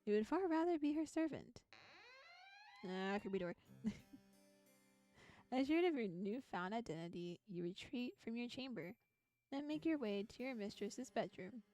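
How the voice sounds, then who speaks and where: A woman's voice: on the high side, expressive, normal speed, pauses often, warm tone. A woman streaming a visual novel read-aloud, narrating the text and breaking off into chatty, giggly, playful asides. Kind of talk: narrated visual novel reading with casual live commentary. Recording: music behind the voice. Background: background music.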